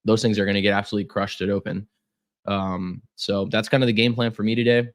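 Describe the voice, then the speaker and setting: A man's voice: lowish voice, little pitch movement, fast talker. A young man losing his voice, running a casual live trading stream with lots of fillers and repeated words.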